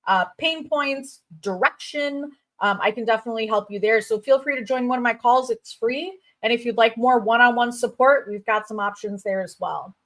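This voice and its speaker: Woman, 30s, Chicago accent, upbeat recruiter host, somewhat high voice, casual livestream patter. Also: a bit bright. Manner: bubbly, chatty, quick asides and fillers. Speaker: crypto recruiter hosting a livestream. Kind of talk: casual livestream chat reading viewer comments.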